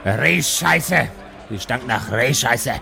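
A man's voice: mid-range voice, expressive, slow pace, gravelly, sharp tone, soft background music, pitched below the performer's own voice, heavily acted. A man playing a grumpy, crude working man in a tavern, with a Bavarian accent and a curt way of talking. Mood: gruff.

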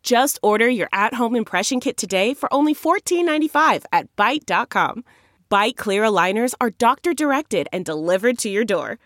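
A woman's voice: on the high side, lively intonation, moderate pace, fairly bright tone, dry recording, clean studio sound. A woman delivering scripted promotional narration as an advertisement announcer.

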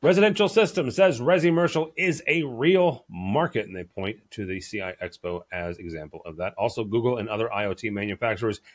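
A man's voice: lowish voice, lively intonation, fairly quick, hardly any pauses. A man hosting a panel podcast, making jokey, upbeat introductions and reading out news items. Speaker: podcast host.

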